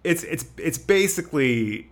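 A man's voice: on the high side, lively intonation, measured pace. A middle-aged man hosting a podcast, talking in an informal, conversational way. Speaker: podcast host, interviewer. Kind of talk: casual podcast introduction and chat.

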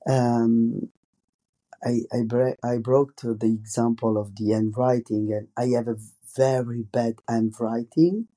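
Slow, soft-toned Italian-accented man. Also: medium pitch, little pitch movement. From Italy. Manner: halting, many fillers and restarts in non-native English. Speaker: podcast host, comics artist. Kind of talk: solo podcast monologue.

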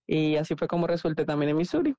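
Mellow Colombian woman in her thirties. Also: deep voice, expressive, fast talker. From Cali, Colombia. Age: 30s. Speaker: podcast guest. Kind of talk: casual conversational interview.

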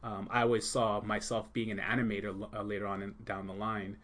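Soft-spoken low-voiced man, unhurried. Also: level tone. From Jamaica. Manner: soft-spoken, many ums, thoughtful pauses. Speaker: school leader and art teacher. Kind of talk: casual podcast interview.

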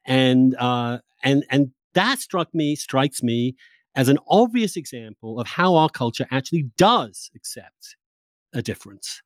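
A man's voice: medium pitch, animated, measured pace, dry recording. Male interviewer, mid-range voice, dry close sound. Discursive, informal intellectual conversation, occasionally sweary. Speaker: podcast host.

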